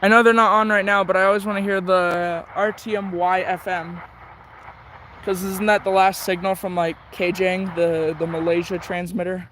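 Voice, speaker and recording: High-pitched man rambling casually, noisy background. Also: little pitch movement, normal speed, a bit bright. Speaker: shortwave radio hobbyist host. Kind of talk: casual rambling commentary while tuning a radio.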